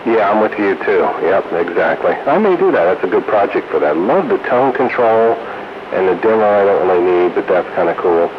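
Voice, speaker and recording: Deep-voiced man on noisy CB radio. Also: lively intonation, normal speed. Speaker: host of a CB radio hobbyist roundup. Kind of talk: casual radio chat. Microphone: CB radio microphone. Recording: noisy, dull CB radio transmission.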